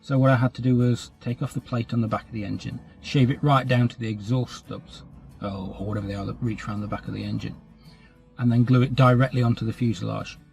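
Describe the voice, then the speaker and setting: A man's voice: medium pitch, fairly even intonation, fast, vocal fry, darkish tone, faint music behind. A British man with a cold, chatting casually about his scale model hobby in a rambling, self-deprecating way. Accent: British English. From England. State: has a cold, slightly stuffy. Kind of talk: casual update chat. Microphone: camera mic.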